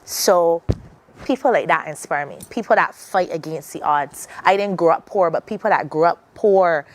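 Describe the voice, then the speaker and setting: Earnest Barbadian woman, lowish voice. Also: normal speed. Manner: earnest, reflective, many restarts. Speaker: guest. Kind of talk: morning TV interview.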